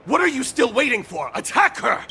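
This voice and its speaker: A man voicing an alarmed villain's henchman in a video game, barking orders in a strained, gravelly voice. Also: extremely high pitch, big pitch swings, moderate pace, very noisy, full character voice. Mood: alarmed, urgent. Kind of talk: dramatic video game voice acting.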